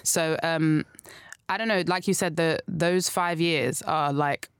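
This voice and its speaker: A woman's voice: deep voice, slow pace, clean tone, no room sound, studio quality. A young woman from South London with a London accent, chatting casually as a guest, hesitant and full of restarts. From South London, England. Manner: hesitant, many restarts and fillers, laughs easily. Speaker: guest singer. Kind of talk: casual interview chat.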